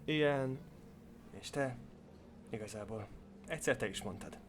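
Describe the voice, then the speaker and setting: A man's voice: medium pitch, a little hiss or hum, close to an everyday voice. A man dubbing a dry, reserved young guy. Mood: wry. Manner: dry, joking, understated. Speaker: voice actor in a fan dub. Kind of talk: amateur fan dub acting.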